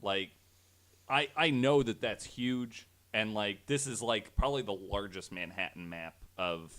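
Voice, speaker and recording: Man, very deep voice, podcast co-host, casual, rambling, swears a lot. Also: a little slow, a bit bright. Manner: rambling, profane, enthusiastic. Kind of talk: casual chat.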